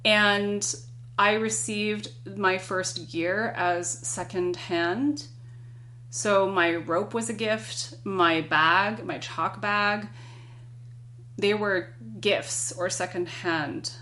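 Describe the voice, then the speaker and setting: A non-binary person in their thirties, a podcast guest, speaks earnestly and reflectively, telling a personal story in a measured way. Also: mid-range voice, fairly even intonation, sharp tone. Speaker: podcast guest, climbing guide. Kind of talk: conversational interview.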